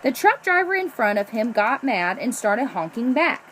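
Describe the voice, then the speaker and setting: High, animated woman reading a storybook. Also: normal speed, background noise. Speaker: storybook reader. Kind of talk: children's picture book read-aloud.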